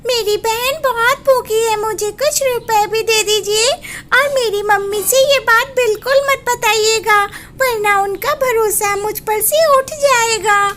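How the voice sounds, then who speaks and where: A woman's voice: very high-pitched, measured pace, a bit bright. A woman voicing a mischievous young boy bird in a cartoon story, who talks in a pleading, whiny way. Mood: tearful.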